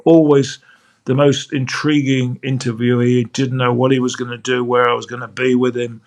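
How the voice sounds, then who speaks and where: Older man with a gravelly voice. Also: medium pitch, little pitch movement, moderate pace, a little mellow. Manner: chatty, fond, with frequent restarts. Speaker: sports broadcaster guest. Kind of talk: anecdotal interview storytelling.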